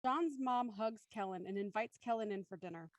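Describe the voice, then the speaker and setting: A woman's voice: mid-range voice, moderate pace, no echo, clean studio sound. A woman reading stage directions as the narrator of a scripted audio play.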